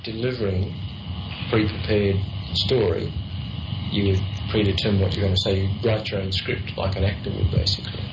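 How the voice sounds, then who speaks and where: A man in his thirties speaks slowly and categorically, repeating the same line. His voice is very low and flat. The archive recording is dull, heard from a distance, with clear background noise. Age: 30s. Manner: deliberate, repeats himself categorically.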